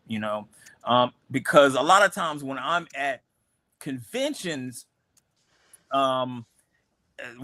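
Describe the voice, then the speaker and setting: Comic creator, male, casual host talk full of ums and restarts, tense bright tone, dry mic. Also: medium pitch, dry recording. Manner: halting, many ums and restarts. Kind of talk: casual livestream chat.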